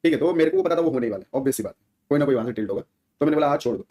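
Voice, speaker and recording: A man's voice: mid-range voice, expressive, very fast, mellow. A man speaking as a gaming streamer in a casual, slangy rant to viewers, often seeking their agreement. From India.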